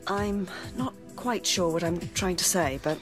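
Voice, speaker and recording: A woman's voice: lowish voice, measured pace, lightly acted. A woman playing a frank, flirtatious and reassuring British woman in a horror audio drama. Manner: frank, soothing. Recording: music under the voice.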